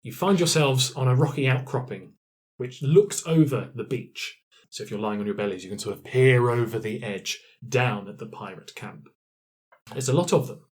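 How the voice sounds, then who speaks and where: A man's voice: medium pitch, normal speed. A man narrating an improvised tabletop fantasy game as game master, in a playful, hesitant way.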